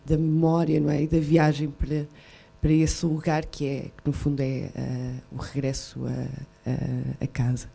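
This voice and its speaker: Gravelly, halting woman moderator. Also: deep voice, monotone, dark tone. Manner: halting, many fillers and tag questions. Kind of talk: festival panel moderation.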